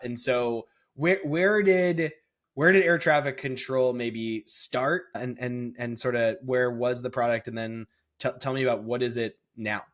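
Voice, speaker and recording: A man interviewing in a casual, chatty style, informal and halting in how he puts his thoughts. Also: medium pitch, fairly even intonation, fairly bright tone. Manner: informal, halting, acts out imagined dialogue.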